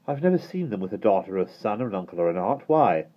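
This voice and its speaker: A man's voice: fairly low pitch, sing-song, fast talker, highs cut off, voice pushed up. A man voicing a cross, whiny little boy. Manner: whiny, pouting.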